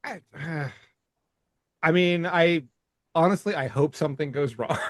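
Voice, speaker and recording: A man co-hosting a podcast in casual chat, animated and laughing often, restarting his sentences as he talks. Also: on the high side, unhurried, dry recording, studio quality. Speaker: podcast co-host.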